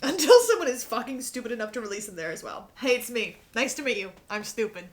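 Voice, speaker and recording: A young woman hosting a casual group chat in an animated, jokey, sweary way. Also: fairly high pitch, quick pace. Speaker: podcast host and director. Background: background music.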